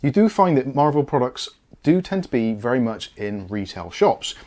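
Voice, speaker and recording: A man's voice: medium pitch, very animated, moderate pace, a little mellow. A British man giving a casual product review and unboxing, explaining things in a chatty, easygoing way.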